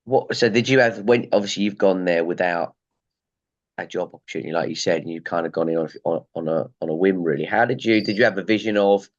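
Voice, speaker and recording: A man's voice: low voice, quick pace, dull audio. A man hosting a golf interview, asking long rambling questions with hesitant restarts. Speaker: podcast host.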